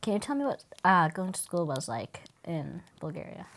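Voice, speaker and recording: Young female student interviewer, lowish voice. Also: sing-song, normal speed. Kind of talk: prepared interview questions.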